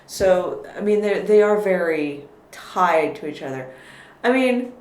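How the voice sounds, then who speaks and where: A woman's voice: lowish voice, lively intonation, measured pace, darkish tone. A woman guest in a casual political conversation, thoughtful and careful with her words, often restarting her sentences. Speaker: podcast guest. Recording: echoey room with faint noise.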